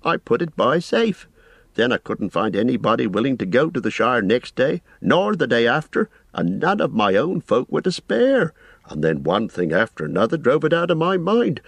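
A man voicing a fussy, forgetful old innkeeper who rambles in a flustered, drawn-out way. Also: mid-range voice, big pitch swings, gravelly, husky, no room sound, voice pushed up, full character voice. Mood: apologetic fretful.

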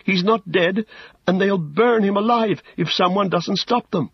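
A man's voice: sits high, normal speed, slightly rough, no echo, lo-fi sound, voice pushed up. A man voicing a frightened young male hobbit who pleads anxiously in an audiobook story. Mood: frightened, urgent.

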